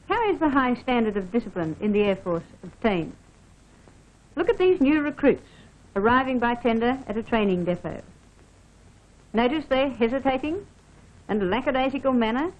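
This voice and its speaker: A woman officer from Australia reads scripted training film narration, formally instructing the listener directly. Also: high-pitched. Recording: dull band-limited vintage film soundtrack.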